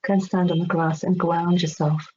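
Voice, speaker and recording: Chatty middle-aged woman presenting on a video call, mellow, bit muffled. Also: on the low side, flat delivery, moderate pace. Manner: hesitant, chatty, encouraging. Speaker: workshop presenter. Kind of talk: informal online workshop talk. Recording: dull, noisy online call audio.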